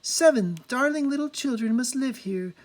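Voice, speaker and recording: The storybook reader voicing a sweet, gentle young princess who fusses kindly over everyone. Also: sits high, animated, moderate pace, voice pushed up. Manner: sugary, fussing and kindly.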